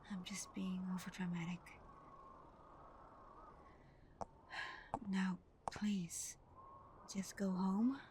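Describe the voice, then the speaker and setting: Girl doing a soothing vampire girlfriend for asmr, soft and whispery, takes it slow, bit of noise behind her. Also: medium pitch, level tone, close to an everyday voice. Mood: resigned, hurt. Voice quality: soft, breathy, often whispered. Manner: gentle, halting, reassuring. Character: tender, guilt-ridden vampire girlfriend, soothing and devoted. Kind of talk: intimate ASMR roleplay, scripted acting.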